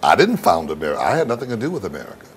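A low-pitched man answers interview questions candidly and bluntly. He leans on his words with emphasis and repeats phrases to drive them home. There is some background noise under the voice. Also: huge pitch swings, very fast.

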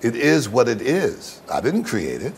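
A man's voice: medium pitch, huge pitch swings, a little slow, a little hiss or hum. A man giving blunt, emphatic answers in a candid interview, repeating phrases for stress.

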